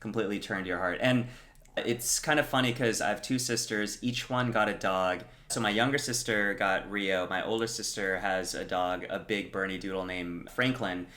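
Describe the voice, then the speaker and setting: A man's voice: fairly low pitch, steady tone, normal speed, sharp tone. A young adult man co-hosting a podcast, explaining things in an easygoing, chatty way and laughing along. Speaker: podcast co-host. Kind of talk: casual chat.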